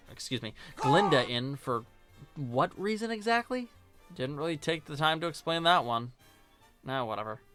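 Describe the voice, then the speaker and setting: A man's voice: on the high side, a bit bright. A man giving scripted video-essay commentary in a sarcastic, informal, joking manner. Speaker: video reviewer and host.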